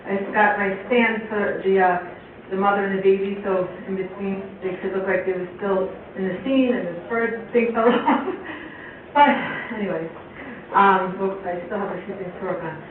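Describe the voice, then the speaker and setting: A woman's voice: mid-range voice, normal speed. A woman puppeteer telling a children's story informally, in a chatty, theatrical way. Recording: very echoey, tinny, distant, faint noise.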